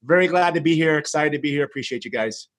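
Animated middle-aged man, Greek speaker. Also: on the high side, fast talker. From born in Greece, lived in the United States. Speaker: podcast guest, marketing agency founder. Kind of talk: casual chat. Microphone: video call.

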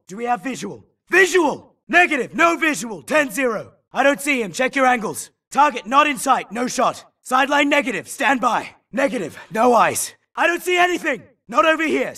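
An actor playing a tough, gruff infantry soldier in a video game, calling out clipped, urgent combat callouts. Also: male voice, extremely high pitch, distant mic, exaggerated voice. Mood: tense, searching. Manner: clipped, shouted military callouts. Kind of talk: military battle barks.

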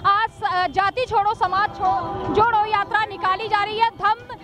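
A young woman news reporter speaking into a handheld mic on a busy city street, briskly prompting people with questions. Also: very high voice, fairly even intonation, music in the background. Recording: loud crowd noise outdoors.

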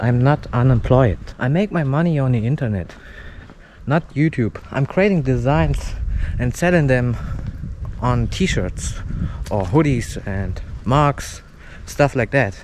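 A young man chatting casually in halting, simple phrases as he records a walking vlog. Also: mid-range voice, animated, darkish tone. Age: young adult. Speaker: walking vlogger. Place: outdoors, suburban street and park path. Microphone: action camera at arm's length, no windscreen. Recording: windy outdoor camera mic, street noise.

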